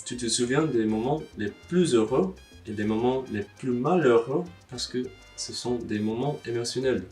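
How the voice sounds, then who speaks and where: A young man with a foreign accent talks straight to camera, blunt and wry, with casual swearing. He sits a little back from the mic. Soft guitar music plays underneath. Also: mid-range voice, recorded from a distance. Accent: foreign, non-native French. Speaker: language-learning vlogger. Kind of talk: casual advice monologue to camera.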